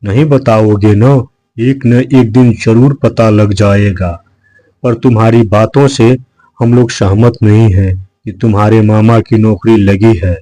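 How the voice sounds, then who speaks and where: Man voicing a slow, mellow, suspicious cartoon crow. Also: fairly low pitch, steady tone, no echo, lightly acted, low-quality recording. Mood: accusing. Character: suspicious, accusing male crow, brotherly. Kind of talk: Hindi children's cartoon dialogue.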